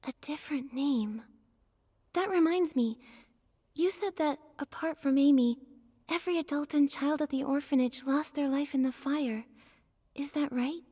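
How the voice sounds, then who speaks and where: A gentle young game heroine voiced by a woman with a high, soft voice. She sounds earnest and inquisitive. A long reverb hangs around her words. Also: moderate pace, lightly acted. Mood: puzzled, curious. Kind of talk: scripted video game character dialogue.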